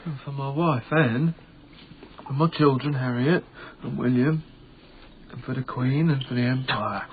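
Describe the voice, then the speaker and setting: A man's voice: mid-range voice, measured pace, close to an everyday voice. An actor playing a frightened, pious Victorian night watchman who speaks formally, deferentially and hesitantly in a radio drama. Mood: meek, earnest. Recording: tinny band-limited radio broadcast, noisy background.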